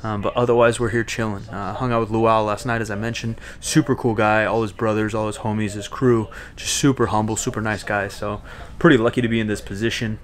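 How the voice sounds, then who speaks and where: A man's voice: lowish voice, level tone, a little fast. Laid-back young man vlogging on a ship. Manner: laid-back, slangy, many fillers. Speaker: fitness vlogger. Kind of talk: casual vlog talk to camera. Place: small ship cabin, then ship deck. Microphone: handheld camera mic at arm's length.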